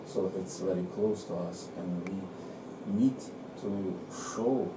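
A man's voice: deep voice, fairly even intonation, slow pace. A man interviewed for a news report, heard from a distance in an echoey, noisy recording.

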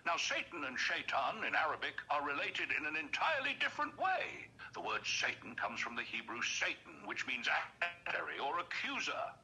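Theatrical old Father Christmas for a chat app: kindly, very bright, wildly animated pitch. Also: moderate pace, hardly any pauses, full character voice, rough recording. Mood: earnest, explanatory. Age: older. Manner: exuberant, courteous, theatrical. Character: jolly kindly old Santa Claus, warm and scholarly. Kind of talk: AI character phone chat, explanatory. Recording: phone speaker playback, some background noise.

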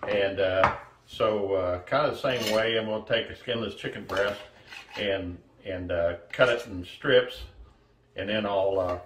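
A man in his sixties giving a casual, halting cooking demonstration as host, recorded from a distance in a home kitchen. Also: lowish voice, fairly bright tone. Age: 60s. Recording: echoey kitchen, distant mic, faint noise.

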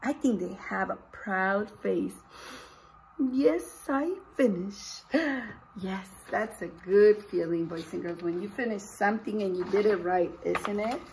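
A woman's voice: mid-range voice, a little slow, a little mellow, a little hiss or hum, low-quality recording. A middle-aged woman teacher reading a picture book aloud, expressively, putting questions straight to young children. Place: indoors, small room with posters and books.

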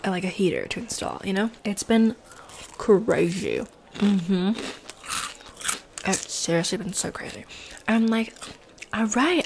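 Young woman's half-whispered ASMR chat. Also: fairly high pitch. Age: young adult. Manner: soft, breathy, often slipping into whisper, mouth sounds. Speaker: ASMR role player. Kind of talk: ASMR restaurant role play, half whispered, casual chat. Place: bedroom, indoors. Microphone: close desktop condenser mic. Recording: close mic with noise, clicks.

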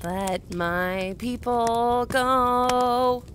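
A woman voices a hesitant Moses for a kids' puppet show. The voice is on the high side and very slow, timid and pleading. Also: fairly bright tone, a little hiss or hum.

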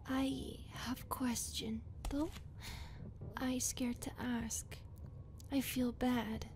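A woman playing a clingy, loving skinwalker monster girlfriend in an ASMR roleplay, speaking soft, halting broken English and often whispering. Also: on the high side, steady tone. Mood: anxious, hesitant. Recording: clear background ambient noise.

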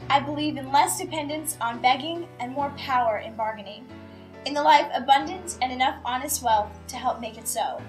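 A young woman with a high-pitched voice formally recites a creed. Faint music plays underneath. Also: moderate pace. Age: young adult. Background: soft music.

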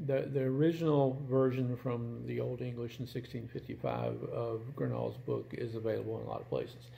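A plain-spoken man in his sixties talking casually and haltingly to camera in a room at home, as host of his channel. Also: mid-range voice, gravelly, warm tone, poor audio. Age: 60s.

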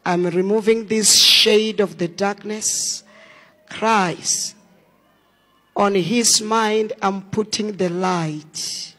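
A male pastor questioning a young man and praying over him in slow, commanding words during a church service. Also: high-pitched, steady tone, bright, forceful tone. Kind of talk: deliverance prayer at a church service. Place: church hall with an audience. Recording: long hall reverb.

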